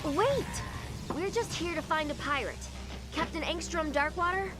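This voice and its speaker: A woman's voice: very high-pitched, measured pace, loud music behind the voice. A woman voicing a plucky, cautious young space adventurer in a game, earnest and direct. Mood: urgent.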